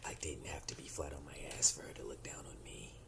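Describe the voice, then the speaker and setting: Very deep, whispery noir antihero. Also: male voice, flat delivery, fast talker, fairly bright tone, exaggerated voice. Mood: brooding, menacing. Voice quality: gravelly, whispery rasp. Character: menacing, brooding male noir antihero narrator. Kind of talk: noir motion-comic narration.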